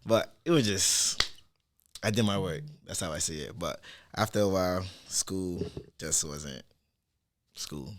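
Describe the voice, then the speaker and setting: A man in his twenties from Nigeria, a DJ guest talking in a relaxed, easygoing way with casual slang. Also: low voice, a little slow, noisy background. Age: 20s. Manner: laid-back, easygoing slang. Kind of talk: casual podcast chat.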